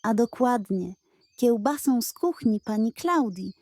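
A woman tells a children's story with playful expression. Her voice is fairly high and soft-edged, and she takes her time over the scripted narration. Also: lively intonation, a little slow, no echo.

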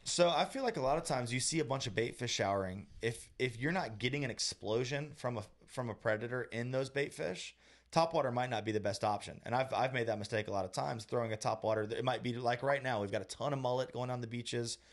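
A man's voice: medium pitch, quick pace. A man in his thirties, co-hosting a podcast, explaining step by step with frequent restarts, recorded on a studio mic in a small room. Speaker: co-host, fishing guide. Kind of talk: casual podcast chat. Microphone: studio mic on boom arm.

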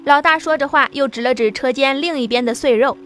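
A woman giving scripted audiobook narration with an even storytelling delivery. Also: sits high, a little fast, slight background noise.

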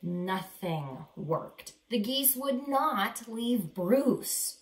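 A woman in her thirties reading a children's picture book aloud with dramatic, deliberate emphasis, in a living room. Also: fairly high pitch, lively intonation, slight background noise. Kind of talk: expressive children's read-aloud. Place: small living room, indoors. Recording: roomy, echoey indoor sound.

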